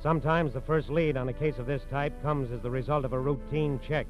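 An American man giving grave, measured scripted narration for a 1940s radio crime drama. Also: mid-range voice, steady tone. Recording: dull vintage radio, music behind.

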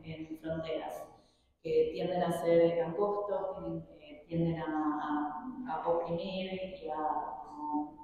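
A woman's voice: low voice, mellow. A woman artist giving an informal talk to students in a classroom, hesitating often, with a Rioplatense accent that sounds slightly mixed. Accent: Rioplatense Spanish, slightly mixed after years abroad. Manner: hesitant, many filler sounds and restarts. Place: large university classroom. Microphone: room mic from a distance. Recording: distant, echoey hall sound.

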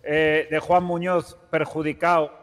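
A man playing a boastful, flattering television wheeler-dealer in an improvised online game roleplay, smooth-talking and theatrical. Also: a little high, a little slow, long reverb tail, lightly acted.

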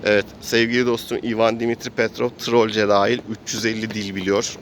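A man's voice: fairly low pitch, level tone, brisk. A man casually improvising the narration of a role-playing game in a playful way, as both game master and player. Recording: clear outdoor traffic and ambient noise.

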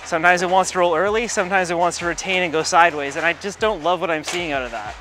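A man's voice: high-pitched, fast talker, music in the background. A man in his thirties gives a casual bowling ball review in a large bowling alley, muttering play-by-play between throws. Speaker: pro shop ball reviewer.

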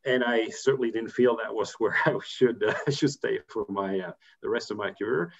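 A man's voice: lowish voice, normal speed, creaky. A man in his fifties from Belgium, a corporate treasurer giving career advice on a video call, talking thoughtfully with many fillers and restarts. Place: home room, indoors. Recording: muffled video call audio.

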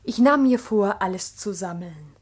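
An actress playing an earnest, ambitious village schoolteacher, narrating reflectively and deliberately in a 1960s radio drama. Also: female voice, medium pitch, animated, husky.

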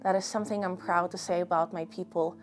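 A young woman from Ukraine answering interview questions earnestly, choosing her words with measured, hesitant pauses. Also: fairly low pitch, fairly even intonation. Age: young adult. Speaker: interview guest. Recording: music behind the voice. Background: background music.

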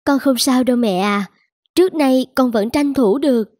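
A woman's voice: high voice, normal speed, darkish tone, dry recording, studio quality. A woman voicing a polite, dutiful young mother in a cartoon, speaking earnestly. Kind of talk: cartoon dubbing.

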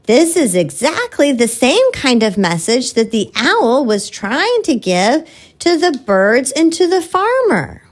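A woman's voice: sits high, big pitch swings, takes it slowly, full character voice. A woman voicing a cheerful, friendly cartoon orangutan who teaches children a Bible lesson with exaggerated enthusiasm. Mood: cheerful, earnest.

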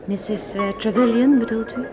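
A woman's voice: on the high side, steady tone, normal speed, natural voice. An actress with a Netherlands Dutch accent playing a polite, sceptical married lady in an old radio play, lightly hesitant. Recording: muffled old radio recording, loud music behind.

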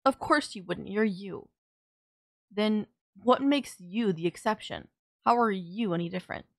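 Girl voice acting a sardonic lady villain in an audio roleplay, bossy, exasperated, secretly caring, mid pitch. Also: unhurried, natural voice. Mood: scolding care. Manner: teasing, exasperated, scolding.

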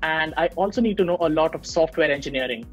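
A man's voice: on the high side, quick pace, dull audio, soft background music. A young man from India, explaining his field on a video call with many fillers and restarts, recorded on earbuds in a small room at home. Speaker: machine learning engineer guest. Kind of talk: casual interview on a video call.

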